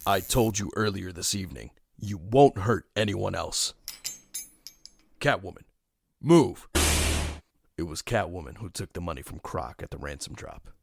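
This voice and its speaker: A man playing a brooding, stern vigilante detective in an audio drama, terse and grim with a gravelly voice. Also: low-pitched, lively intonation, a little slow, long pauses, a little hiss or hum, exaggerated voice. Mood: commanding. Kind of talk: audio drama narration and dialogue.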